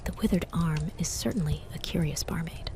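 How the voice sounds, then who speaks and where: A woman playing the narrator of a bleak radio play, telling it deliberately and ominously in a breathy whisper. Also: sits low, close to an everyday voice. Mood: hushed, bleak. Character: hushed, ominous storyteller narrator of a bleak tale.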